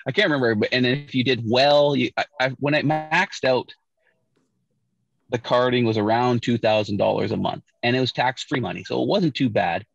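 A man's voice: mid-range voice, normal speed, gravelly. A middle-aged man from Saskatchewan, Canada, telling his story casually on a video call from inside a parked car, with a lot of restarts and fillers. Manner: relaxed, with many restarts and fillers. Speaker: Olympic bobsledder guest. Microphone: video call, laptop or phone camera. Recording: muffled dry video-call audio.

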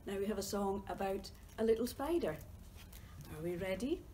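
A woman's voice: medium pitch, animated, normal speed, dark tone, slight background noise. Scottish woman reading aloud to little ones. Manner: playful sing-song reading, drops to whispers. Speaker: library storytime leader. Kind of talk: reading a picture book and singing to young children. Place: library reading corner.

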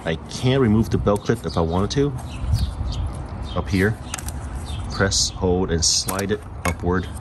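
A man's voice: low voice, measured pace, long pauses. A man giving a casual, matter-of-fact hands-on product review as the host of his channel. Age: adult parent of young children. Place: outdoors, patio table and residential sidewalk. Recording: clearly noisy outdoor recording, traffic hum.

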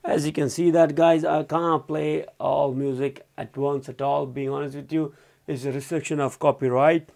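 Higher-pitched man with gravelly fry, reviewing casually. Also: flat delivery, moderate pace, darkish tone. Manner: informal, loosely phrased, repeats himself. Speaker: product reviewer and presenter. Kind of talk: casual product review and demo. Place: indoors, small room at a desk.

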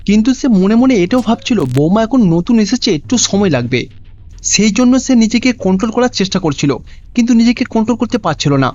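Casting: male cartoon story narrator, high pitch, lively melodic read, lo-fi track. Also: quick pace, dry recording.

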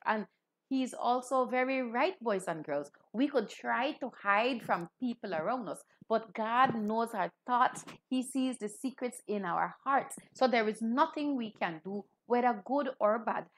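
Woman teaching children. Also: fairly high pitch. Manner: clear teaching, addressing children. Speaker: Anglican cleric hosting children's Bible broadcast. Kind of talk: children's sermon, direct address. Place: small indoor room.

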